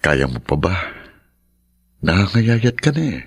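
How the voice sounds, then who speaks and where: A man playing a kindly, reassuring middle-aged uncle with a husky voice in a Filipino radio drama. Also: deep voice, big pitch swings, measured pace, no echo, clean studio sound, exaggerated voice. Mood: concerned, reassuring.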